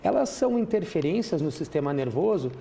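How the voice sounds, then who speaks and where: A man's voice: on the high side, very animated, fairly quick, creaky, mellow, slight background noise. A middle-aged man from Brazil, a chiropractor guest on a TV talk show, explaining patiently with frequent restarts and tag questions. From Rio Grande do Sul, Brazil. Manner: didactic, many restarts and "né" tags. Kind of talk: explanatory interview answers. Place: TV studio.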